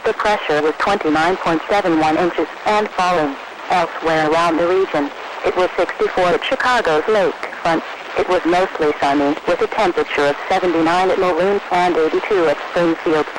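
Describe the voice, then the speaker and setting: Noisy radio reception, high male voice, American accent, formal scripted weather announcer, impersonal. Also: a little fast. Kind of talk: scripted weather summary reading.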